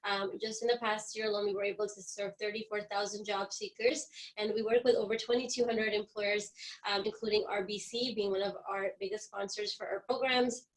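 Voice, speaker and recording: Woman, mid pitch, webinar host, listing facts, ums between items. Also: little pitch movement, brisk, low-quality recording. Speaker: webinar host for an employment agency. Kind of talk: organizational introduction.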